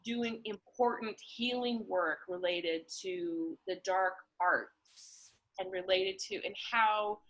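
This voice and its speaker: A woman speaks at an unhurried pace, with a medium pitch. She is thoughtful and somewhat halting, feeling her way through ideas as in a reflective, casual conversation. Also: a bit bright, rough recording. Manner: halting, thoughtful, searching for words.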